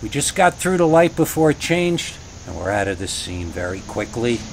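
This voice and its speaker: A man reading a first-person scary story in a conversational way, with dramatic pauses. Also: mid-range voice, very animated, a little hiss or hum, rough recording. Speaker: horror story reader.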